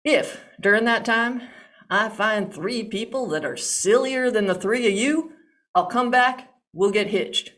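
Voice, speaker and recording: A plain-talking young suitor with a folksy drawl, quick to exasperation, as a woman storyteller puts him on. His voice comes out highish, and his delivery is slow and unhurried. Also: a bit bright. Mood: exasperated.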